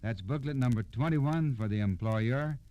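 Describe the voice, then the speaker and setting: A man's voice: medium pitch, moderate pace, dark tone, rough recording. An American man narrating from a script as an old-time radio storyteller, in a plain, explanatory way.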